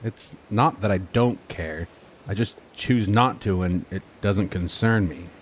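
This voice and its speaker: A man's voice: deep voice, a little slow, slight background noise. A man playing a stoic, dismissive police officer who just follows orders, in a naturalistic audio drama. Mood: unbothered.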